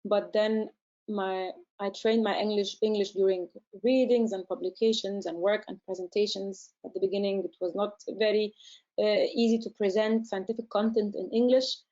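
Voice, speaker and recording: A woman, a research centre director answering questions in a webinar, measured and explanatory, often pausing to find her words. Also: medium pitch, mellow, dull audio. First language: Arabic. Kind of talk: webinar Q&A, advice to listeners.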